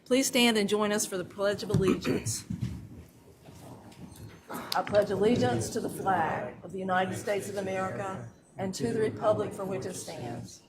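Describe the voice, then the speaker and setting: A woman's voice: mid-range voice, steady tone, normal speed, crowd noise. A woman chairing a county planning commission meeting, reading formal opening remarks into a desk microphone. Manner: casual and self-deprecating off-mic, then formal reading of the opening. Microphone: desk microphone that cuts out. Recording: noisy meeting room audio.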